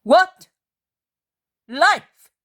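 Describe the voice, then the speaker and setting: A woman's voice: high voice, expressive, very slow, a bit bright, voice pushed up, full character voice. A woman reading as a thundering, devout Mary. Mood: fierce. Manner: thundering, commanding. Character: fierce, devout woman Mary in holy wrath.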